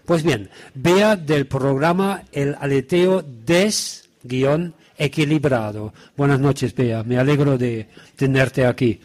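A man's voice: mid-range voice, slow pace, darkish tone. A man presenting at a book launch in an informal, rambling, conversational way. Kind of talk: informal spoken event remarks.

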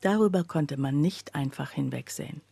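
An actress playing a troubled woman who recounts her own breakdown in a radio play, with a husky, breathy voice. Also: low-pitched, normal speed, dark tone, dry recording, heavily acted. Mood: confessional. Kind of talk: scripted first-person radio play narration.

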